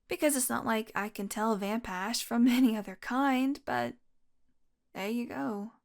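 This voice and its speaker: A woman's voice: mid-range voice, lightly acted. A woman playing a wounded, exhausted young vampire in an intimate audio roleplay, rambling wearily with sardonic, pained asides. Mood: weary, regretful. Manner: weary, rambling, sardonic, with sighs and breathy asides. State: exhausted, in pain. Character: wounded, exhausted young female vampire, wry and foul-mouthed.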